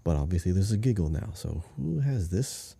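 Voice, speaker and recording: A man's voice: low voice, animated, moderate pace, gravelly, mellow, husky, dry recording. A man co-hosting a podcast, chatting casually as he thinks through a guessing game. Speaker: podcast co-host.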